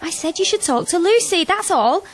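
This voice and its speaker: A woman's voice: extremely high pitch, expressive, normal speed. An actress playing a frank, supportive young woman, blunt and teasing, in an audio drama. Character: frank, supportive young female friend, secretly an operative.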